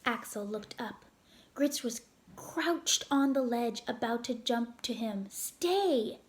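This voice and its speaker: Slow, higher-voiced woman reading a story. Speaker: read-aloud narrator. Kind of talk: scripted children's story narration.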